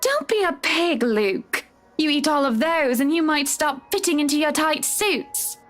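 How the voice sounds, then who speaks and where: Very high-pitched, teasing wealthy wife. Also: female voice, expressive, measured pace, soft background music. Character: Mrs Wright, chatty, teasing wealthy wife. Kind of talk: visual novel voice acting.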